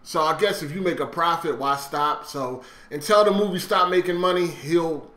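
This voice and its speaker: A man's voice: on the high side, moderate pace, pushed and bright. A man giving casual, opinionated gaming commentary in a blunt, sweary way, often checking in with the listener. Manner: blunt, swearing, repeated conversational check-ins. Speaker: gaming video host. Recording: roomy, echoey sound.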